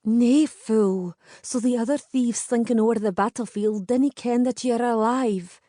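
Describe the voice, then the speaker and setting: A woman's voice: a little high, unhurried, warm tone, no room sound, clean studio sound, pitched above the performer's own voice. A woman playing a firm young Scottish heroine. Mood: urgent. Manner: firm, commanding. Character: bold young Scottish woman, steady, sharp-tongued. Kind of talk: audiobook character voice.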